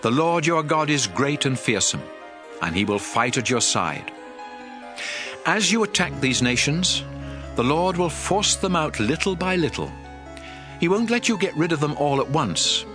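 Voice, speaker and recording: A man's voice: on the high side, big pitch swings, unhurried. An actor playing a stern, fervent prophet who addresses his people in a solemn, emphatic, declamatory dramatized scripture reading. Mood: urgent, assuring. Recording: music under the voice.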